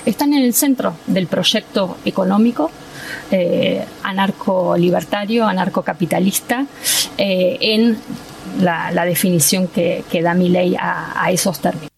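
A woman giving reflective, academic political commentary as a guest, measured and full of small hesitations. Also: lowish voice, creaky, darkish tone, a little hiss or hum, poor audio. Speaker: guest speaker.

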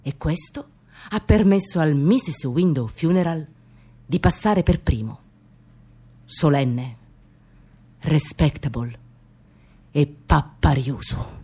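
An excitable immigrant woman with a Sicilian accent in a radio play. Her medium-pitched voice swings widely as she gossips. She tells her tale theatrically, mixing dialect with broken English. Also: husky, full character voice. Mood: amused, solemn. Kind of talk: theatrical monologue for radio drama.

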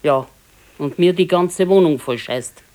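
A woman's voice: deep voice, unhurried, darkish tone. An actress playing an old grandmother and grumbling, practical wife in a radio play, speaking tersely and dryly with a Bavarian accent. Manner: terse, matter-of-fact, dryly contradicting. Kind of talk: naturalistic radio play dialogue.

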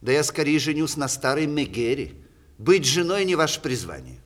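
An actor playing a witty older playwright in a 1960s Soviet radio play, courtly and ironic, with theatrical delivery and a husky voice. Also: male voice, a little high, sing-song, normal speed. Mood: ironic, mannered.

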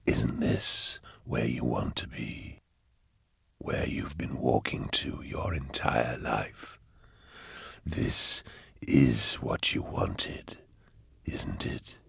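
Slow, whispering, bottomless-deep male demon. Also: vocal fry, fairly bright tone, husky, dry recording, clean studio sound, full character voice. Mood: sly, taunting. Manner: slow, drawn-out, insinuating whispery delivery. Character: hovering male demon host, huge-voiced, mockingly friendly and sly.

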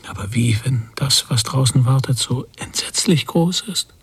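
An actor playing an anxious, brooding emigrant husband in a radio drama, speaking in halting fragments with a husky, breathy voice that often nears a whisper. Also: male voice, medium pitch, lively intonation. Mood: fearful, hushed. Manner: halting, introspective, short fragments. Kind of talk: literary radio drama monologue.

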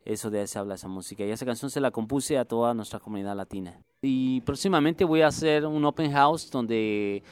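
A man with a Mexico City Spanish accent gives an interview as a musician and music instructor. His voice is smooth and close, with no room sound, and sits at an ordinary pitch. He talks earnestly, halting and circling back to rephrase his points. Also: no room sound. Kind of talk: radio interview answers.

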